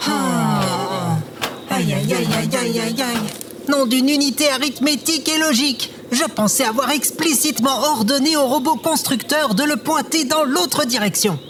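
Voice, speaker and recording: An actor as a high-pitched robot sentry in an audio comedy. It is fussy and easily flustered, exclaiming in wordy, technical phrases. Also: measured pace, a crowd in the background. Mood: dismayed. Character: fussy riddle robot guarding a bunker entrance. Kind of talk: audio fiction comedy acting.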